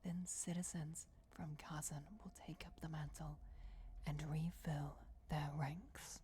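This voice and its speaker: A solemn, commanding vampire goddess, acted by a woman whispers in a low, soft, mellow voice for a fantasy ASMR roleplay. She speaks very slowly and deliberately, with long dramatic pauses, ruthless yet motherly. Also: steady tone, slight background noise. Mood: grave, ruthless. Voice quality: breathy, whispered. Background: crypt ambience.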